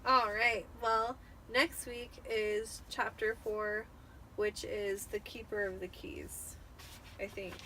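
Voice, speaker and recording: A tipsy woman in her thirties hosts a casual read-aloud. Her voice sits at an average pitch and she talks in a loose, chatty way. There is some ambient noise behind her. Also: fairly even intonation, slow pace, bright, forceful tone. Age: 30s. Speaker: host reading a book aloud. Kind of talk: casual chat with dramatic book reading. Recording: clearly noisy room ambience.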